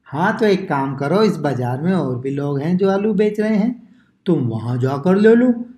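A woman acts out a grumbling village market man in a cartoon tale. The voice is lowish and mellow, arguing and complaining in an echoey room. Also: lively intonation, a little slow. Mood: argumentative. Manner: complaining, argumentative.

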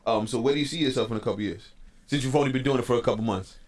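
Fast-talking man hosting a radio interview. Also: mid-range voice. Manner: quick, upbeat, slangy questions. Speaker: radio show host. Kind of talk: casual interview. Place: radio studio. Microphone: studio mic on boom arm.

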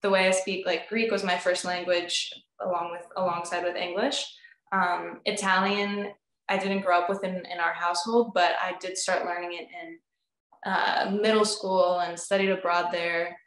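A woman's voice: on the low side, normal speed. Bubbly young woman on a roomy video call. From United States, family from Crete. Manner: bubbly, laughs often, animated storytelling. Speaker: guest, recording artist. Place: indoor room at home with instruments on the wall. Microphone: video call, webcam.

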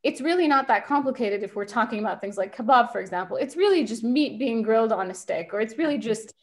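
Fast-talking woman on a video call. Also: a little high, animated. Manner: quick, thoughtful, with many fillers. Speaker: invited speaker. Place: home kitchen.

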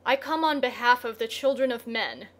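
A woman's voice: a little high, lively intonation, moderate pace, natural voice. Woman voicing a proud, emphatic lion god. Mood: determined. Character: proud, hot-tempered male lion god.

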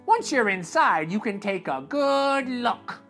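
A man voicing a gnarled, cruel old witch in a high, cackling crone voice for a read-aloud storybook. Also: very animated, slow pace, fairly bright tone, soft background music, full character voice. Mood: commanding. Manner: high cackling crone voice, wheedling then barking.

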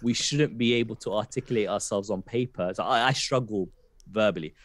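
Deep-voiced West London man musing slowly. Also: a bit bright, no echo. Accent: West London English. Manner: thoughtful, hesitant, many fillers and restarts. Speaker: poet guest. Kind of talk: casual podcast conversation. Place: home room, video call.

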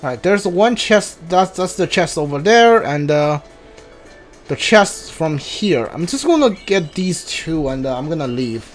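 A streamer talks through a video game in a casual, halting way, thinking aloud. The pace is slow and drawn out, at a medium pitch, with game music playing behind the voice. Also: very animated. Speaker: gameplay streamer. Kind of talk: casual playthrough commentary. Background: video game music and sounds.

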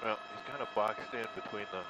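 A man's voice: lowish voice, brisk, very noisy. A man giving offhand live commentary on a police chase from a news helicopter, with fillers as he talks.

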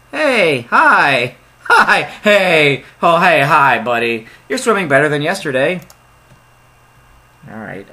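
Animated young man reading game text. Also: fairly high pitch. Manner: reads game text aloud, jokey and excitable asides. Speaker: let's play gamer. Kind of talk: casual let's play commentary.

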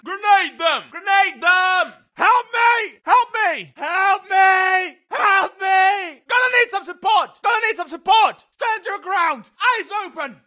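An actor playing a battle-hardened British First World War soldier in a video game, barking short, urgent lines with a British accent. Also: male voice, extremely high pitch, sharp tone, exaggerated voice.

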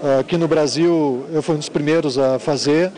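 A man's voice: a little high, fairly even intonation, moderate pace, mellow. A man in his thirties with a southern Brazilian accent, a craftsman explaining his work informally in an interview. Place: large indoor fair hall. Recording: busy fair hall chatter. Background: crowd chatter.

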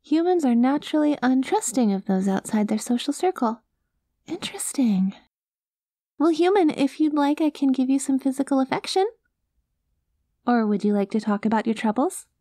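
Casting: woman as cute alien girl for ASMR roleplay, highish voice, naive, gentle, hesitant, close dry sound. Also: animated, normal speed, no room sound. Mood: gentle, reassuring. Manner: naive, literal, hesitant, reassuring.

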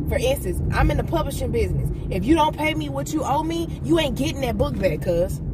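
A young woman vlogging from inside a parked car at night, ranting bluntly and profanely to her viewers. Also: sits high. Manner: blunt, profane, animated rant. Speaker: vlogger, entrepreneur. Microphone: phone camera on the dashboard. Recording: car interior with clear noise.

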